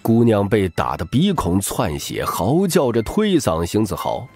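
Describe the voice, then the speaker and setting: A man reads an audiobook in dramatic fashion. His voice is low and moves expressively in pitch, with faint music playing behind it. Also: huge pitch swings, measured pace, a little mellow. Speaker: audiobook narrator. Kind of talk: dramatic scripted narration.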